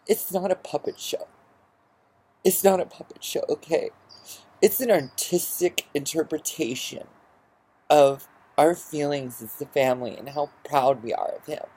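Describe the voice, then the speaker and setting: A man's voice: a little high, measured pace, darkish tone, pitched above the performer's own voice, poor audio. A man voicing a dramatic, defensive middle-aged mother with a whiny, overwrought delivery in a vlog story. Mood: defensive, tearful.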